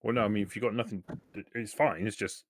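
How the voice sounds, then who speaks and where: Low-voiced man in a casual tabletop game. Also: steady tone, fast talker. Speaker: tabletop roleplaying player. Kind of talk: casual improvised in-character chat.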